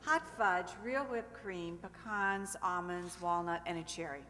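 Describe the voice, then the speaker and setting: A quiz contestant in an old-time radio show played by a woman, with a slightly low voice. She is heard in a long hall echo over faint noise. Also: unhurried, pushed and bright. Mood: plain.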